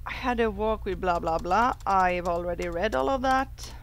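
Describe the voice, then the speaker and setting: A woman hosting a gaming video, chatting casually and reading aloud in a halting, unhurried way. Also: medium pitch, slight background noise. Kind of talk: casual chat and reading a visual novel aloud.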